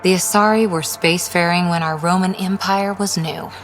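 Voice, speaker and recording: A woman's voice: fairly low pitch, fairly even intonation, normal speed, soft background music, natural voice. A woman playing a tough, disciplined soldier in a video game, speaking in a clipped, matter-of-fact way. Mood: dry, composed. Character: tough, disciplined female human soldier, dryly wry. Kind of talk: video game dialogue acting. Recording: long reverb.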